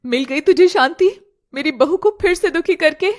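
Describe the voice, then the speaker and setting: A woman's voice: very high-pitched, animated, moderate pace, slight background noise, voice pushed up. A woman voicing a distraught older mother in an audio drama, emotional, protective and pleading. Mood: angry.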